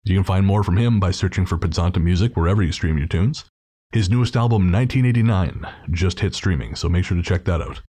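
A man's voice: low voice, quick pace, dark tone, slightly rough. A man hosting a podcast, chatting casually and informally through thanks and plugs. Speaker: podcast host.

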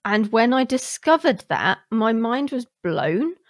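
A woman's voice: fairly high pitch, very animated, unhurried, no echo, clean studio sound. A woman hosting a podcast interview in a casual, conversational way, with informal and emphatic phrasing.

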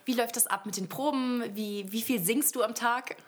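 A woman's voice: a little high, moderate pace. A woman hosting a podcast, asking casual interview questions. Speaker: podcast host.

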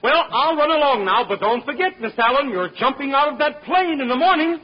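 A man playing a brisk, zany publicity man in a 1940s radio comedy, on a muffled, noisy old broadcast. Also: very high-pitched, animated. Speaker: comedy bit player.

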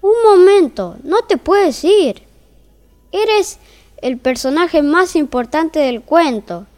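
A woman's voice: sits high, animated, slow, darkish tone. A woman playing an exasperated, bossy narrator in a comic fairy-tale audio drama. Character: exasperated, bossy storyteller wrangling unruly characters.

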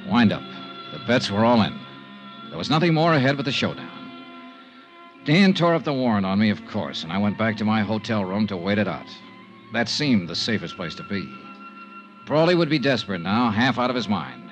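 A man's voice: lowish voice, big pitch swings, normal speed, lo-fi sound. An actor playing a tough, world-weary insurance investigator who narrates his case in terse, clipped, brooding lines in a 1950s radio drama. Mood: tense, resigned. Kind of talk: 1950s radio drama acting, hardboiled first-person narration. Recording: 1950s radio recording with organ music.

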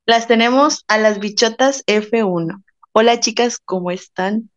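Casting: woman co-host, friendly and upbeat, slightly high voice, dull lo-fi audio. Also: a little slow. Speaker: podcast co-host. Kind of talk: casual chat.

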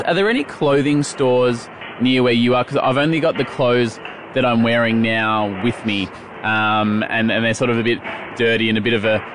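Casting: Australian male podcast host, medium pitch, casual and jokey, rambles with stops and starts. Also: a bit bright, a little hiss or hum. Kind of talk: casual comedic chat.

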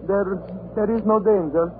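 Man playing a high-voiced, cringing servile sergeant. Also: steady tone, moderate pace, close to an everyday voice. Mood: nervous, obsequious. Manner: servile, stammering, pleading. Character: cringing, fearful, drunkard sergeant serving a tyrant. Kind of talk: 1930s radio horror acting. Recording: noisy, tinny 1930s radio recording.

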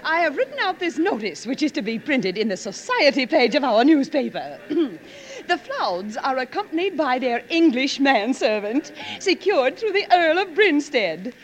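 An actress playing a bossy, affected, social-climbing society wife in a 1940s radio drama. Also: female voice, sits high, very animated, moderate pace. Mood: self-important. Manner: affected, commanding. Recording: 1940s radio broadcast recording.

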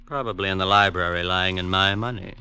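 An actor playing a drunken, sardonic young stepson with flowery, mocking wit in an old-time radio drama. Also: male voice, low voice, fairly even intonation, a little fast, music in the background, natural voice.

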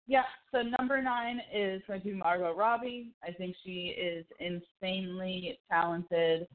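A woman's voice: lowish voice, steady tone, measured pace. A woman co-hosting a casual chat show, giving her opinions in an informal, conversational way. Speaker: podcast co-host. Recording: thin, phone-like band-limited audio.

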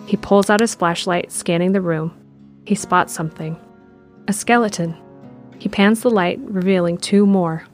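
A woman giving steady scripted narration of an audio drama in the present tense. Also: on the low side, normal speed, soft background music.

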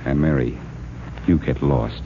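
An actor playing a wry, tough, confident amateur detective with a wisecracking, pressing manner in a 1940s radio crime drama. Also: male voice, a bass voice, measured pace, vocal fry, full character voice. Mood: stern, pressing. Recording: noisy, dull old radio recording.

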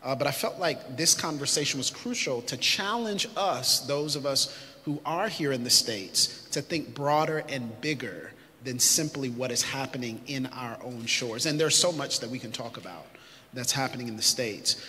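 Bright-voiced man moderating a panel. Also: mid-range voice, moderate pace. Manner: preacherly, rallies the audience. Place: conference hall stage. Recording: echoey hall, faint noise.

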